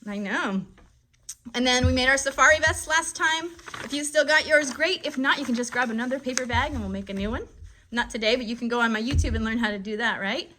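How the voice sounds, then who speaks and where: A woman's voice: sits high, a little fast, bright, forceful tone, slight background noise. A woman hosting a casual live puppet show for children in a friendly, encouraging, upbeat way.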